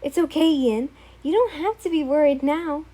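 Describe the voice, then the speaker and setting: A woman's voice: high voice, moderate pace, dark tone, voice pushed up, natural voice. A woman voicing an obsessive, tearful young man who pleads in an emotional way. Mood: coaxing.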